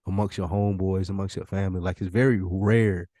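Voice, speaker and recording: A man's voice: low-pitched, steady tone, a little fast, mellow, no echo, studio quality. A man co-hosting a podcast in casual conversation, with an informal, slangy way of talking. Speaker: podcast co-host.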